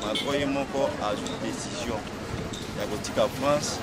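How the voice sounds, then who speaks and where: A man's voice: medium pitch, moderate pace. A Congolese man speaking emphatically and insistently as he gives his opinion in a street interview. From Democratic Republic of the Congo. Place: outdoors by a doorway on a street. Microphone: small wired lapel mic held near mouth. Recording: loud outdoor street and traffic noise.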